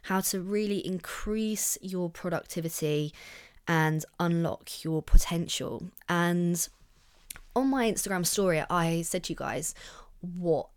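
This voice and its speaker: A young woman chats through her solo podcast with a slightly low, husky voice that is croaky from illness. Under the rasp her tone stays resonant and smooth, and her delivery is loose, upbeat and full of casual swearing. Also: slow pace. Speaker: podcast host.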